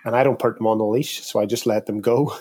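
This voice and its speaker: A man's voice: fairly low pitch, steady tone, fast, mellow, slightly rough. Northern Irish man chatting casually. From Derry, north of Ireland. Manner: self-deprecating, joking. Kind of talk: casual conversational storytelling.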